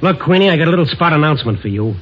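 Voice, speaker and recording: An actor playing a tough but compassionate newspaper reporter in an old-time radio drama, with clipped, wry delivery. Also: male voice, on the high side, expressive, rapid-fire, slight background noise, natural voice. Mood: sardonic, urgent. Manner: clipped, sardonic, hard-boiled. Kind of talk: old-time radio drama acting with first-person narration. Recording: old muffled radio recording with music.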